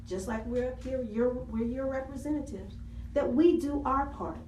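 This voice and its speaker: A woman's voice: on the high side, dark tone, recorded from a distance, a little hiss or hum. Woman speaking earnestly in an echoey hall. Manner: earnest, repeats key phrases for emphasis. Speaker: city council member. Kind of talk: public meeting speech. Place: city council meeting room.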